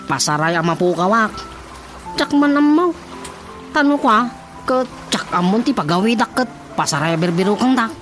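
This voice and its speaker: An actor playing a naive, earnest young son with an Ilocano accent in a radio comedy-drama. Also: male voice, high-pitched, animated, moderate pace, fairly bright tone. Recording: prominent music under the dialogue.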